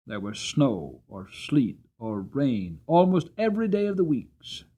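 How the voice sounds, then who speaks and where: A man voicing a gentle old-fashioned carriage horse who recounts his life in earnest, expressive storybook narration. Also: mid-range voice, muffled recording.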